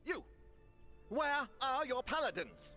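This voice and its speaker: Excitable, high-pitched council lord. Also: male voice, takes it slowly, soft background music, exaggerated voice. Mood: agitated, indignant. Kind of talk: fantasy video game voice acting.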